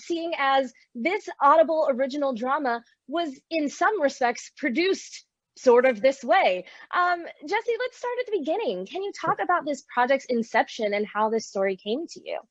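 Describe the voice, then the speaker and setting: A woman's voice: fairly high pitch, no room sound, muffled recording. A woman moderating a panel interview as a reporter over a remote video call.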